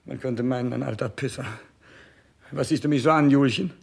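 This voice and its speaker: A man's voice: medium pitch, fairly quick, creaky. An actor playing an imperious, petulant, ailing king in denial, pompous and capricious, in a 1960s radio play. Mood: grumbling, feeble. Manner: capricious, pompous, swinging from shouts to mutters.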